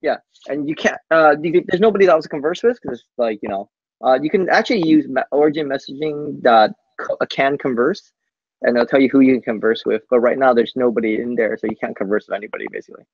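A man giving a casual live software demo on a team call, speaking haltingly and often tacking "basically" onto sentences. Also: medium pitch, slightly rough, dry recording. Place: coworking space, on a group video call. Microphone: video call microphone. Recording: muffled video call audio.

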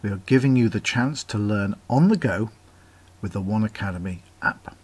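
A man's voice: on the low side, lively intonation, moderate pace, a little mellow, slightly rough. A man reading scripted company announcements for a webinar as a voice-over over slides, in an upbeat promotional manner.

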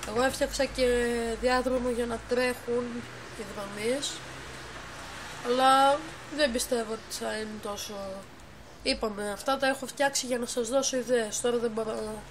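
Μια γυναίκα με λίγο ψηλή φωνή ξεναγεί χαλαρά σε ένα ψηφιακό γήπεδο, κομπιάζει και διορθώνει συχνά τα λόγια της. Μιλά σε ένα σκοτεινό δωμάτιο δίπλα σε υπολογιστή. Η εγγραφή είναι από κινητό τηλέφωνο και έχει έντονο θόρυβο από πίσω. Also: female voice, on the high side, steady tone. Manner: halting, self-correcting, explains step by step. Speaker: game builder giving a tour. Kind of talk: casual tour of a game build. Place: dim room at home, by a computer. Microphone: phone camera filming the monitor. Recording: noisy home phone recording.

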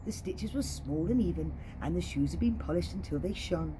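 Female children's entertainer, playful and animated storyteller, softly mellow tone, recorded outdoors. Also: on the low side, normal speed, slight background noise, poor audio. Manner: playful, expressive. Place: outdoor garden.

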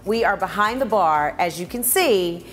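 A woman's voice: mid-range voice, expressive, moderate pace, a bit bright, faint music behind. A middle-aged woman with an American accent presents casually and enthusiastically as a food show host, gushing and exclamatory. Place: restaurant bar indoors.

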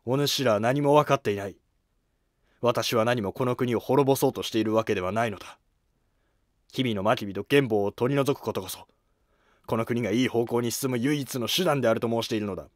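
A man's voice: mid-range voice, fairly quick, no room sound, clean studio sound, lightly acted. A proud young rebel commander from a historical drama, acted by a man. He declaims stubbornly and indignantly, with music playing underneath. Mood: defiant.

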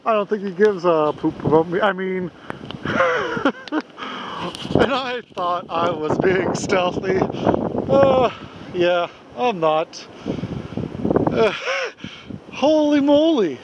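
A man's voice: high-pitched, expressive, slow pace, pauses often, lo-fi sound, very noisy. Chuckling man vlogging from a winter tent. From Canada. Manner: chuckling, easygoing, rambling with many fillers. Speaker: camping vlogger. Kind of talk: casual vlog chat to camera. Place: small winter tent at night, first outdoors in snow. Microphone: handheld camera close up, then fixed camera a few feet away.

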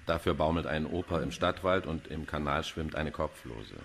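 An actor playing a cynical, erudite middle-aged police pathologist in a German radio crime drama, wordy and dryly pedantic. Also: male voice, very deep voice, moderate pace, vocal fry, a bit bright, a little hiss or hum, exaggerated voice. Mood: wry, teasing. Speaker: forensic pathologist character.

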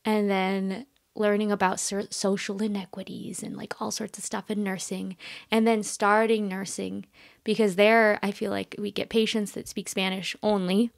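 Young woman telling animated stories. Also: medium pitch, normal speed, gravelly, no echo, clean studio sound. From United States. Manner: animated storytelling with laughs. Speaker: podcast guest. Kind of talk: casual conversation.

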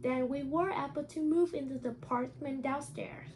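A woman who speaks English as a second language, talking carefully and haltingly as a teacher doing a read-aloud lesson. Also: high voice, steady tone, a little mellow, a little hiss or hum. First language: not English. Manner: careful, halting delivery with stumbles over words. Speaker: teacher reading a picture book aloud.

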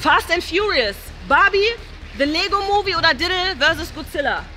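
A young woman chatting casually and reading quiz questions in an excitable, playful way. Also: very high-pitched, normal speed. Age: young adult. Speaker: host of a challenge video. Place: large indoor swimming pool hall. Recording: music behind the voice. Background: music.

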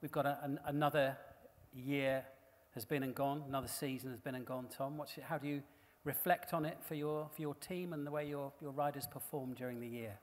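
A man's voice: medium pitch, fairly even intonation, a little fast, few pauses. Man hosting a panel in a hall. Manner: chatty, friendly questioning with fillers. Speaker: stage host. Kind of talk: live panel interview. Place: large hall on stage before an audience. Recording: long hall reverb.

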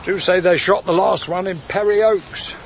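A man's voice: sits high, fairly even intonation, normal speed, slight background noise. An actor playing a folksy, teasing old-time countryman in a radio drama, with archaic turns of phrase. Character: cagey, chatty old-time English countryman ghost, gruffly folksy.

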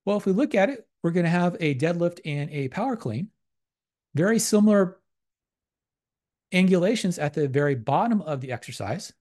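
A man's voice: sits high, lively intonation, normal speed, a little mellow. A man giving a methodical, academic slide lecture on exercise science, restating terms as he goes. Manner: methodical, repetitive academic phrasing.

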